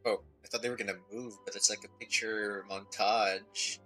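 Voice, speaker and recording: Bright-voiced man streaming a game. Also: on the low side, little pitch movement, normal speed, soft background music, low-quality recording. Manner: reads on-screen text aloud, thinks out loud. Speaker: streamer, vtuber. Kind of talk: casual live game commentary.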